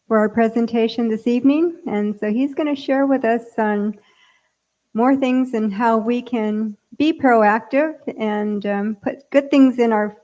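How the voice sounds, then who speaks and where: A woman's voice: a little high, a little mellow, muffled recording. A woman hosting a church health evening, introducing the speaker hesitantly with fillers. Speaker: event host introducing the speaker. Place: church hall.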